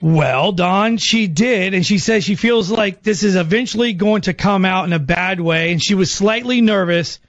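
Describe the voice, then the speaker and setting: High-pitched American male radio host. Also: moderate pace, hardly any pauses, highs cut off, soft background music. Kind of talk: casual phone-in radio prank.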